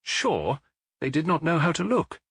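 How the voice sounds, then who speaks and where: A man voicing a brilliant, self-assured Victorian gentleman detective in an audiobook, with an English accent and a precise, authoritative way of giving instructions. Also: on the high side, very animated, unhurried, vocal fry, husky, no echo, pitched above the performer's own voice, full character voice. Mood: confident, reasoning. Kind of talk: audiobook character acting.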